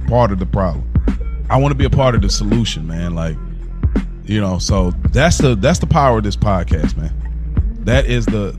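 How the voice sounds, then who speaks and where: A man co-hosting a casual podcast, speaking earnestly and reflectively in informal, slangy language. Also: sits low. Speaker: podcast co-host.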